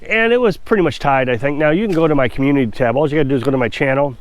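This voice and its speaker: A man's voice: a little high, very fast, dry recording. A man with a stuffy, congested voice, talking casually and opinionatedly to the camera in a rambling vlog. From Missouri. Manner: chatty, rambling, self-assured. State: congested from a cold. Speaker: off-grid homestead vlogger.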